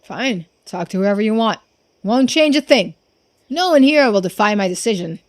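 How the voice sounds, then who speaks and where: A woman's voice: mid-range voice, lively intonation, normal speed, lightly acted. A woman voicing a stern, domineering male businessman from a visual novel, with a scornful, commanding manner. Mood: cold, commanding.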